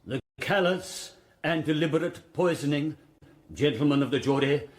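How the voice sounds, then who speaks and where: An actor playing a formidable old-school prosecuting barrister in a radio drama, with an English accent and deliberate, righteous oratory. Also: male voice, a little high, natural voice. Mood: indignant, declamatory. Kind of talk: courtroom speech in radio drama.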